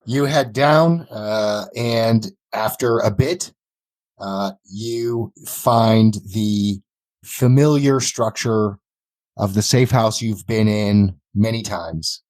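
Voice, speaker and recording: A man narrating a tabletop game as game master, halting between phrases. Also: fairly low pitch, a little mellow, dry recording, poor audio.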